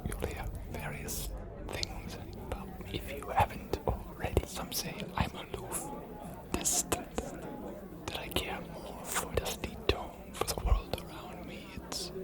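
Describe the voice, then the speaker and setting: A man playing an ancient, aloof male vampire scholar in an ASMR roleplay, speaking in a formal, musing, courteous whisper. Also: natural voice. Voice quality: breathy whisper. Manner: measured, formal, musing, hesitant pauses.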